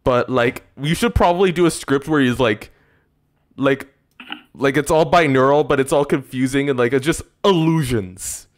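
A man in his twenties hosting a reaction stream from a home room on a desk mic, rambling excitably with lots of filler. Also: medium pitch, moderate pace. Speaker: streamer host. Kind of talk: casual reaction chat. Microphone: desk mic with headset.

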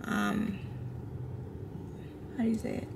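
A woman in her thirties driving and chatting casually, laid-back, with short replies. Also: takes it slowly. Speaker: vlog co-host, driver. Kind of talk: casual car chat. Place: inside a car. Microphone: camera on the dashboard. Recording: loud car and road noise.

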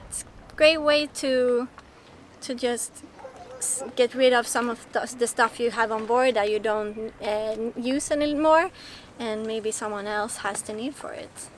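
A young woman, chatting casually to the camera on a sailboat deck, easygoing and halting. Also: a little high, fairly even intonation, a little hiss or hum. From Sweden. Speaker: sailing vlogger.

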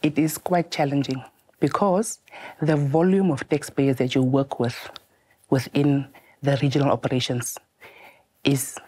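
A woman with a loud voice, answering interview questions in a studio in a lively, joking way with hesitant restarts. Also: a bass voice, a little slow, long pauses, husky. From Namibia. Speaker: tax officer guest. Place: TV studio, seated.

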